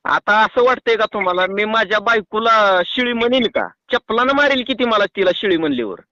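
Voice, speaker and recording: Rural Maharashtrian farmer, male, high forceful voice, argumentative and colloquial, on a phone call. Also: animated, a little fast, no echo. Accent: rural Maharashtrian Marathi. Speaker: farmer calling a doctor. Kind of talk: casual phone conversation. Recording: muffled lo-fi phone call recording.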